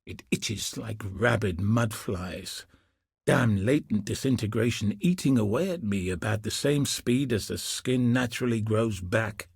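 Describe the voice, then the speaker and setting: An actor playing a gruff, weary and wry veteran soldier and tracker in a video game, speaking in a measured, husky voice. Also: male voice, on the low side, dry recording, studio quality, heavily acted. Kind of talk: scripted video game dialogue.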